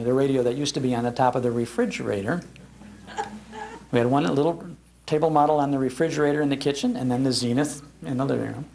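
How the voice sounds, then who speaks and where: Older American man, gravelly storyteller. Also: medium pitch, darkish tone, low-quality recording. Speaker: speaker giving a talk on old-time radio. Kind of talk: humorous nostalgic storytelling to a live audience. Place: public library talk before an audience.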